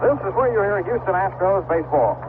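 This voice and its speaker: An American man calling baseball play-by-play for radio in short, clipped, measured phrases. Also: sits high, animated. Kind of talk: live radio play-by-play commentary. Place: ballpark radio broadcast. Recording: noisy vintage AM radio broadcast, narrow band.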